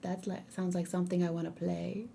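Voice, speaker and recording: A woman's voice: on the low side, level tone, fairly quick, gravelly, mellow, slight background noise. A young woman streaming and reacting live, chatting casually, reading viewer comments aloud and rambling with frequent fillers. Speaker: streamer reacting to game character.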